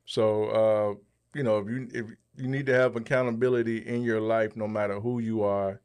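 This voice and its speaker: A man hosting a podcast in a casual, measured and reassuring way, with pauses as he goes. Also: on the low side, flat delivery, darkish tone, clean tone. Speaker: podcast host.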